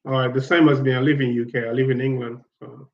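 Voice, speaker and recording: A man's voice: mid-range voice, flat delivery, fast talker, roomy sound, lo-fi sound. A man from Guinea-Bissau hosting a casual online chat, talking in a hesitant, reflective way and repeating words as he searches for them.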